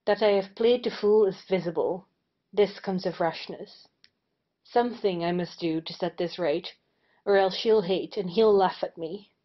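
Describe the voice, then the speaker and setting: A woman's voice: medium pitch, measured pace, highs cut off, natural voice. A woman playing a wounded, vengeful male parson in a period stage comedy, stiff and indignant.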